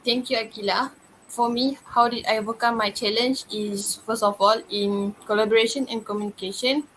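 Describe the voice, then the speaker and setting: A woman in her twenties with a Malaysian accent, giving prepared answers in a student interview at a measured, slightly halting pace. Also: on the high side, fairly even intonation, fairly bright tone, a little hiss or hum, poor audio. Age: 20s.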